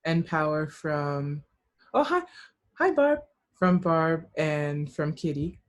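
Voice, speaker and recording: A woman's voice: low voice, lively intonation, slow pace. A woman taking part in a book discussion, speaking in a casual, reflective way and relaying comments.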